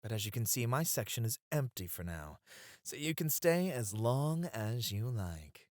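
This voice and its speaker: A man's voice: medium pitch, very animated, unhurried, a little mellow, no room sound, studio quality. A man playing a teasing, dramatic, flirty club host in an ASMR roleplay, with a coy, effusive manner.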